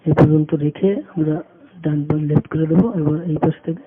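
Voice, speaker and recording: Male tutorial instructor, higher-pitched voice, halting unscripted delivery, repeated phrases, tinny phone-quality audio. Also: level tone. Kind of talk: unscripted step-by-step screen tutorial narration. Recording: muffled phone-like audio with background noise.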